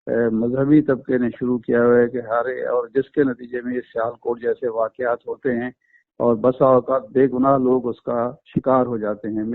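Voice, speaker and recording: A man from Pakistan giving a phone interview, explaining his account in a measured, earnest way with frequent hesitations. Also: on the high side, no room sound. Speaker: interview guest. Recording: tinny phone line.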